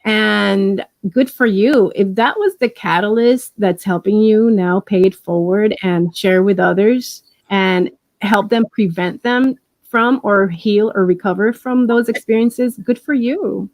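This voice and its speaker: A woman's voice: medium pitch, unhurried, no echo. Encouraging Puerto Rican woman, dry sound. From Puerto Rico. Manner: warm, encouraging, with many restarts. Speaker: interview guest. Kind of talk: casual interview.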